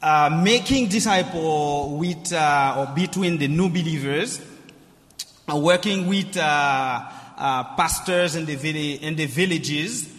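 Slow, hesitant Haitian missionary man. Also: on the high side, a bit bright. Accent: Haitian Creole. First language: Haitian Creole. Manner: hesitant, with many filler pauses. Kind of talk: onstage interview answers. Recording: long hall reverb.

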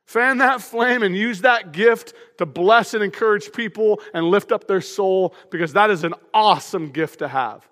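A man with a high voice preaches a sermon in a loud, energetic and emphatic way. His voice rings out through a church auditorium with a long hall reverb. He speaks to the congregation in front of him. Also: normal speed, few pauses. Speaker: pastor.

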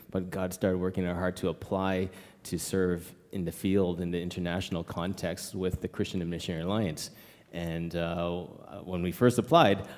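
A man missionary giving a church presentation, lively and joking with the audience. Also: deep voice, long reverb tail. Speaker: missionary speaker.

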